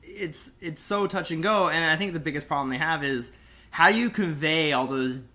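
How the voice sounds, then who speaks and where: Bright-voiced man chatting. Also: a little high, normal speed. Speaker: podcast co-host. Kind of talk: casual podcast discussion.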